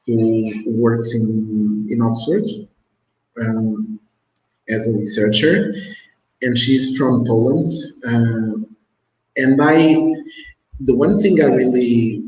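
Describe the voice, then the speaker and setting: Slow-talking man hosting an online talk show. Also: mid-range voice, reverberant, rough recording. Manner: slow, halting, with many restarts. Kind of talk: casual interview hosting. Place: Mozambique.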